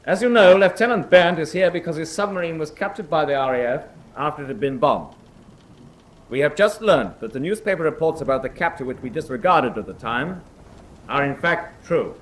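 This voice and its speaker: A stern senior military officer played by a man delivers a formal address in a wartime radio play. The voice is fairly high for a man and declamatory, with faint noise behind it. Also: lively intonation, fairly quick, natural voice. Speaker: actor. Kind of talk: formal announcement in a radio drama.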